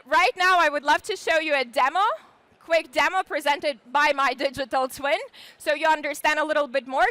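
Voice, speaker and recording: Bright high-voiced woman presenting. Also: no echo. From Ukraine. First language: Ukrainian. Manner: chatty and enthusiastic, with casual fillers. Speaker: startup founder presenting. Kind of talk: live presentation to an audience.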